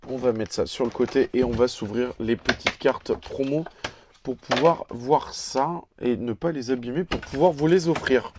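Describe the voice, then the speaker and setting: A man with a French accent hosting a casual card unboxing, hesitating often and listing cards in a steady patter while he has a cold. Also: medium pitch, background noise. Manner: hesitant, frequent filler sounds. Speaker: YouTube channel host.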